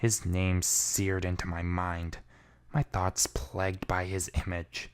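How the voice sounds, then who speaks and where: A man's voice: low voice, completely flat delivery, slow, clean tone. A man giving a brooding, theatrical first-person reading of a fan fiction story. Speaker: amateur fan fiction reader.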